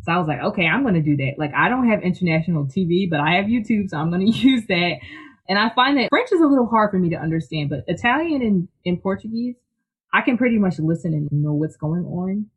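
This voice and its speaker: A person from Philadelphia, a guest talking in an enthusiastic, chatty way full of "like" and quick laughs. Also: mid-range voice, animated, breathy edge, low-quality recording. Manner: enthusiastic, chatty, filler-heavy, laughs often. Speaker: guest, technical writer. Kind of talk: casual interview chat.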